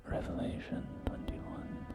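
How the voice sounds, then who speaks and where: A whispered, breathy ASMR roleplay voice playing a polite, genteel real estate agent, unhurried and hesitant, with refined phrasing. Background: soft music.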